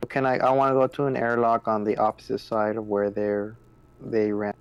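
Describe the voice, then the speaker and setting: A man with a low, gravelly voice talks casually as a player in a tabletop game. His delivery stays very even and monotone while he works out what to do. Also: normal speed, dry recording.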